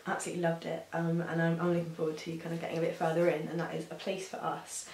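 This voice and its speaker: A young woman, hoarse from a sore throat, chatting casually about books with frequent ums. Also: sits low, monotone, quick pace, darkish tone. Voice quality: husky. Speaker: book reviewer. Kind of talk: casual chat to camera. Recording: roomy echo with faint hiss.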